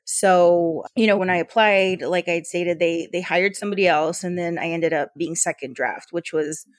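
A woman's voice: lowish voice, steady tone, moderate pace, dry recording. An American woman chatting informally as an interview guest, telling personal anecdotes in a conversational way. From Yuma, Arizona, US. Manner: chatty, informal, often quotes dialogue. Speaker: interview guest, port authority leader.

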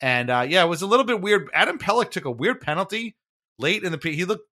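A man's voice: high-pitched, sing-song, fast, sharp tone. A man hosting a sports podcast, casually recapping in a conversational, wry way with frequent hedging. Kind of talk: casual hockey game recap chat.

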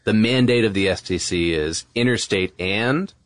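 A man's voice: lowish voice, moderate pace, no echo. An American man co-hosting a podcast, explaining things in a casual, conversational way. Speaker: podcast co-host.

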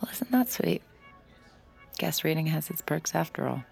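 A weary young woman bartender who mutters asides; deep and gravelly, light score beneath. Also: big pitch swings, normal speed, a little mellow, soft background music, exaggerated voice. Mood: dry.

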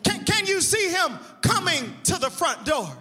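A man preaching a sermon in a shouted, chanted cadence, often repeating his words as he builds. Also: very high voice, very animated, unhurried. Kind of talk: whooping sermon. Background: organ music.

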